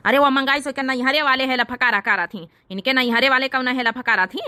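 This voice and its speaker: A woman's voice: sits high, fairly even intonation, brisk, pushed and bright, a little hiss or hum. A woman voicing an exasperated village husband in a comedy cartoon, scolding and grumbling in an Awadhi accent. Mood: grumbling, alarmed. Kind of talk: exaggerated cartoon comedy dialogue.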